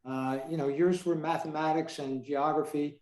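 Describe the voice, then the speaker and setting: Guy asking questions on zoom call, kinda high voice, rambly, echoey. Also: steady tone, moderate pace, darkish tone. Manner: rambling, reworking the question as he goes. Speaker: interviewer. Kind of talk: casual unscripted interviewing. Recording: echoey video call audio.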